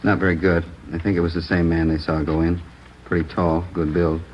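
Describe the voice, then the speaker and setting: A man's voice: low voice, brisk, slight background noise, natural voice. An actor playing a male police lieutenant who steadily reports what neighbors heard on a murder night, in a 1950s radio crime drama. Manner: steady, methodical report reading. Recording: dull old radio broadcast recording.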